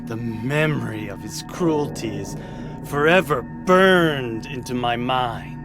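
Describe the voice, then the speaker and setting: A man's voice: mid-range voice, lively intonation, slow pace. An actor playing a smug, mocking male priest in a video game cutscene, speaking with sneering, teasing questions. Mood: insinuating. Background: music.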